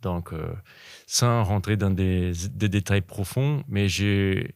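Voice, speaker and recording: A very low-pitched man speaks French as a guest on a podcast. He talks in a halting way, repeating words as he looks for the right ones. His voice sounds close and dry. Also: a little mellow, clear, resonant tone, no echo. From Brazil. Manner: halting, repeats words while searching for them. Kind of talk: casual interview conversation.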